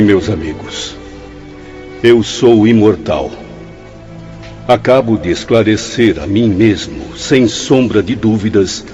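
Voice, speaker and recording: A man acting an elated spirit patient in a radio drama, speaking in slow, emphatic declarations. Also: on the low side, darkish tone. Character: elated male spirit patient, newly convinced he is immortal. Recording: dull lo-fi radio drama audio, music behind.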